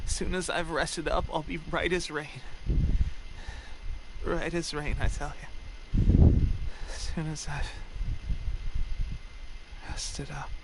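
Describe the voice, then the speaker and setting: A man voicing a weakened young soldier in a video game, eager and reassuring but halting and worn out. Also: on the high side, level tone, heavy background noise. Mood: faint, reassuring. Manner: halting, weary, breaking off and repeating words. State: exhausted, weak. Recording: game music playing behind the voice.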